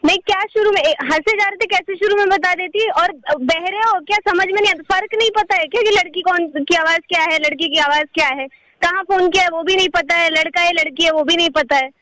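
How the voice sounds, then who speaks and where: Very high-voiced indignant woman on a call. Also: a little fast, bright, forceful tone. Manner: indignant, questioning. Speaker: prank call recipient. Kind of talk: spontaneous phone conversation.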